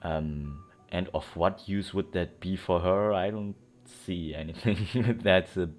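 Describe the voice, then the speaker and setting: A man's voice: deep voice, takes it slowly. A young man giving casual gameplay commentary in an offhand, slangy way. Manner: offhand, slangy, mutters short reactions. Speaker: game streamer. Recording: game music behind the voice.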